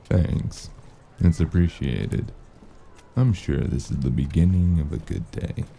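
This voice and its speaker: A man playing a weary, wounded noir detective in an audio drama, gruff and deadpan, with a gravelly voice and dry wit. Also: rumbling low, takes it slowly, a little hiss or hum, heavily acted. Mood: dry, laconic. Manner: laconic, deadpan.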